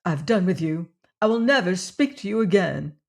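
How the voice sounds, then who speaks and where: A proud, grieving young woman with a fierce temper, as the narrator puts her on. She speaks up high and loud, pushing her lines hard. A classic storybook heroine. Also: lively intonation, normal speed, vocal fry. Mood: bitter. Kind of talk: audiobook character voice.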